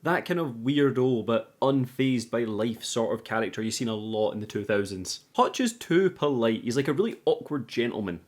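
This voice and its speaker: A man's voice: medium pitch, lively intonation, normal speed, hardly any pauses. A man giving a casual, jokey recap commentary as host of a film review show, with informal, sweary phrasing.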